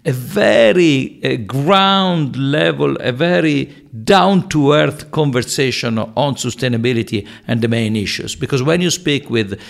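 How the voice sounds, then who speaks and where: A man's voice: medium pitch, lively intonation, measured pace, creaky, few pauses. A man from Tuscany with a Tuscan Italian accent, co-hosting a podcast in an earnest, emphatic way. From Tuscany, Italy. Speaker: podcast co-host. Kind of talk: podcast conversation.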